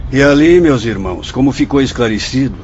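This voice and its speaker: A man's voice: medium pitch, animated, a little mellow, lightly acted. Actor as solemn fatherly spirit doctor, dull recording. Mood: grave, instructive. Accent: Brazilian. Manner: didactic, formal, measured. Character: solemn fatherly spirit doctor guiding visitors through a hospital. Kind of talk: Brazilian radio drama acting. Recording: dull lo-fi audio, faint noise.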